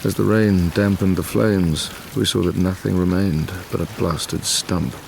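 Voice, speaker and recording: An actor playing a dying, tormented man who gravely narrates his past in a formal audio drama. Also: sits low, fairly even intonation, unhurried, a little mellow, a little hiss or hum. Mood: haunted.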